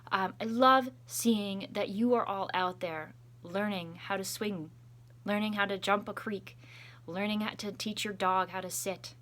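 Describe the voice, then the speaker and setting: A woman's voice: medium pitch, fairly even intonation, a little slow, a bit bright. A woman reading a children's picture book aloud expressively, adding chatty asides as a school storytime reader.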